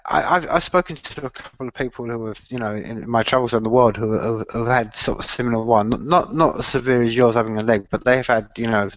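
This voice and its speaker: A man's voice: mid-range voice, fast, hardly any pauses, dry recording, dull audio. A man hosting an interview, asking long, rambling questions packed with fillers like "I mean" and frequent restarts. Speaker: interviewer and host. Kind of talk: casual conversational interview.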